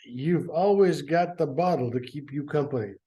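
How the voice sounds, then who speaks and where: A man reading the part of a bitter teenage boy who has had enough of his mother. The delivery is blunt, in a mellow, unforced tone. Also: fairly high pitch, normal speed, lightly acted.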